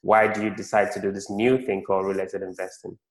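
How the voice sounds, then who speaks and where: A man's voice: deep voice, level tone, quick pace. A man hosting an interview podcast, speaking to guests and listeners. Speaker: podcast host. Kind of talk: podcast interview and scripted promo.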